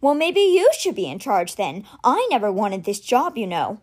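A woman's voice: mid-range voice, very animated, normal speed, darkish tone. A woman reading aloud, voicing a desperate, angry teenage boy leader from a storybook.

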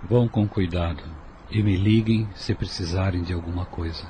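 A man playing a stern, spiritually minded family man, grave and measured, in a radio soap opera. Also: deep voice, fairly even intonation, lightly acted. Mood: caring. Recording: noisy audio with ambient sound.

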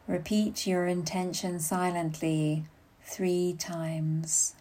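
A woman leading a guided meditation as a yoga nidra guide, giving soothing, unhurried instructions with long pauses. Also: on the low side, fairly even intonation, warm tone.

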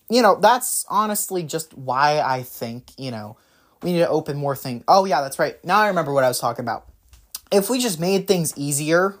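A man hosting a solo talk show, rambling through his views with many fillers and restarts, his voice slightly raspy from a runny nose. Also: on the high side. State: runny nose, maybe a cold. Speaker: podcast host. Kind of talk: casual solo political commentary.

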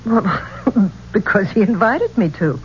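An actress playing a gracious, curious, self-possessed singer in a 1970s radio drama, speaking in a polished, expressive way. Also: female voice, medium pitch, slow pace. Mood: guarded. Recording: dull, noisy 1970s radio recording.

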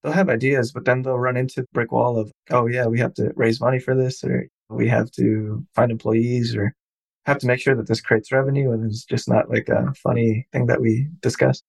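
Gravelly-voiced male podcast host. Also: medium pitch, flat delivery, normal speed, a little mellow, dry recording. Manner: loose and chatty, laughs often. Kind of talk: casual interview chat.

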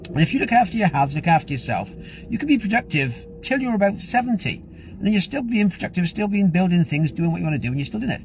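A man's voice: high voice, very animated, quick pace, slight background noise, rough recording. A man giving an upbeat, rambling motivational talk as host of his own show. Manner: upbeat, rambling with frequent restarts. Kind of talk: casual motivational talk to camera.

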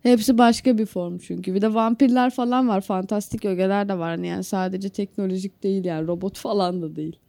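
A woman's voice: medium pitch, steady tone, fairly quick, gravelly, hardly any pauses, warm tone, no room sound. A woman, co-hosting a podcast in casual, opinionated chat. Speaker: podcast co-host.

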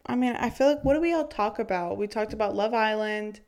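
A young woman co-hosting a podcast, chatting fast and excitedly about dating shows, full of fillers. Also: medium pitch, vocal fry. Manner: excitable, rambling with lots of "like". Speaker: podcast co-host. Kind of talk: casual chat.

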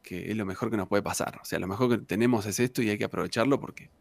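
A man's voice: on the low side, fast, creaky, dry recording. A man in his thirties from southern Argentina with an Argentine accent, a tango singer chatting loosely in an interview, full of fillers and tag questions. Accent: Argentine Spanish. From Argentina, Santa Cruz province. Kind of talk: casual interview storytelling.

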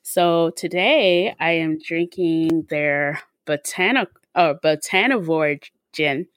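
Brief: woman podcast co-host, slightly low voice, rambling casual chat, dry close mic. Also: slow pace, no echo. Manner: chatty, lots of likes and ums.

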